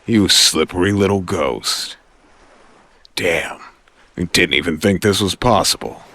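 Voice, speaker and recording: A man's voice: sits low, a little slow, bright, forceful tone, no echo, heavily acted. A man playing a tough, impatient mob enforcer in an audio drama, curt and threatening. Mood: impressed. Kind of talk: audio drama villain acting.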